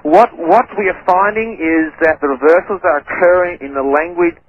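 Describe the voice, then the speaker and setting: A man's voice: fairly high pitch, normal speed, no room sound, lo-fi sound. A man who stutters, a guest on a phone-in radio interview, explaining at length and often repeating words and restarting. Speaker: guest researcher. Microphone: telephone line.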